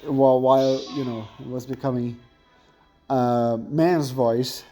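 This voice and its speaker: A man's voice: medium pitch, steady tone, slow pace, dark tone, faint music behind. A young man with a thin voice chatting casually and rambling while commentating on his gameplay, often correcting himself mid-sentence.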